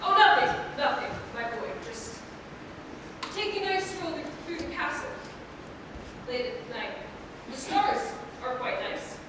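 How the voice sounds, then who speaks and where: In a big echoing hall with clear rumbling noise, a woman plays an anxious older gentleman of the castle on stage. The voice is high, hesitant and pleading, heavy with remorse, and sits far from the microphone. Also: animated, slow, long pauses. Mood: nervous. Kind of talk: theatrical staged reading. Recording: distant echoey hall, noisy rumble.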